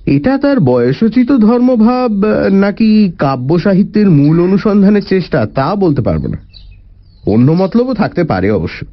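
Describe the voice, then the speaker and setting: Male narrator, highish voice, hugely varied intonation, expressive storytelling, dramatic and deliberate. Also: muffled recording. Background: faint music.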